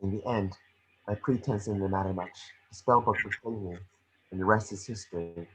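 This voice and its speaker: A man reading the part of a gentle, remorseful witch ghost mentor in an amateur table read, earnest and measured. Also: on the low side, fairly even intonation, faint music behind, natural voice, low-quality recording. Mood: wistful.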